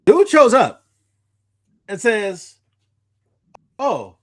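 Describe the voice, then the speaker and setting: A man co-hosting a podcast, telling stories in a casual, animated, rambling way and often stumbling over repeated starts. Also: extremely high pitch, extremely slow. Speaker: podcast co-host. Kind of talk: casual chat, recounting anecdotes.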